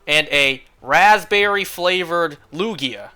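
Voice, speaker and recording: A young man streaming a game, chatting casually and rambling, clearing his throat often as he is losing his voice. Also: on the high side, takes it slowly, sharp tone.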